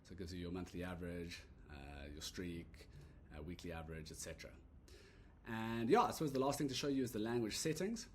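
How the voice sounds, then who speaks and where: Low-voiced man casually demoing his app. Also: brisk, a little hiss or hum. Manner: relaxed, rambling, many "uh" and "so yeah" fillers. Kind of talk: casual screen-recorded product demo. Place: at a computer recording a screen demo.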